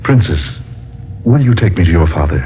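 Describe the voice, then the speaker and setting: An earnest doctor from another world, old radio. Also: male voice, sits low, big pitch swings, brisk, a little hiss or hum. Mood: earnest, amazed. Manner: earnest, heroic declamation. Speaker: radio drama actor. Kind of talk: 1940s radio acting. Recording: tinny lo-fi old radio broadcast.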